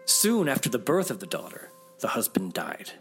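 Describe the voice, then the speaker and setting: A man narrating a fairy tale as a storyteller, in a theatrical, expressive and dramatic way. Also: medium pitch, moderate pace, soft background music.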